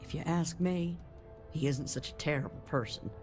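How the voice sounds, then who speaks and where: A woman playing a courteous, weary older general-store keeper in a video game, gently complaining. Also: low voice, normal speed, over music, natural voice. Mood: weary, apologetic.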